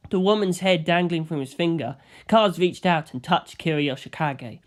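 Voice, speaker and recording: Male audiobook narrator, somewhat high register, first-person fiction read from a script. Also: moderate pace.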